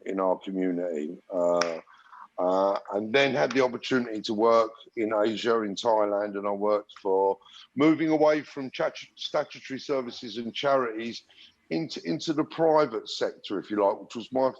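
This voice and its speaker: Middle-aged man from Algeria and England, low-pitched, thoughtful, interview guest with muffled lo-fi audio. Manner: reflective, frequent restarts and fillers. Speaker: podcast guest. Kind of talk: conversational interview storytelling. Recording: dull lo-fi sound.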